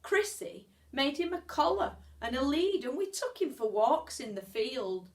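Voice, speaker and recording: Slow, higher-voiced woman reading a storybook. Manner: expressive, measured storytelling with pauses and stretched words. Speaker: teacher reading a storybook aloud. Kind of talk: children's storybook read-aloud.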